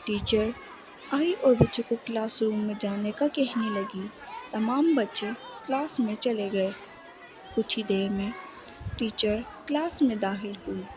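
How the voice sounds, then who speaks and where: A woman's voice: mid-range voice, unhurried, crowd noise, noisy background. A woman reading a scripted children's story as its narrator.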